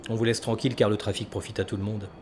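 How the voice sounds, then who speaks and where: An actor playing a calculating senator who persuades with measured authority in an audio drama. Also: male voice, lowish voice, level tone, a little hiss or hum, natural voice. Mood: cold, authoritative. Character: calculating, persuasive male senator and military schemer.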